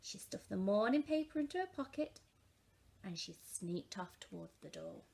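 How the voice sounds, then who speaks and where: A woman's voice: mid-range voice, big pitch swings, normal speed, vocal fry, a little mellow. A woman reading a picture-book story aloud expressively for young children.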